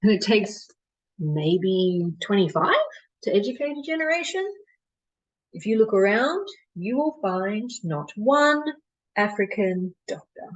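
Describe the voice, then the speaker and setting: A woman performing a stage monologue as a disillusioned colonial mission doctor, bitterly candid and deliberate, with dramatic pauses. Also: mid-range voice, expressive, lo-fi sound, lightly acted. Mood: weary, despairing.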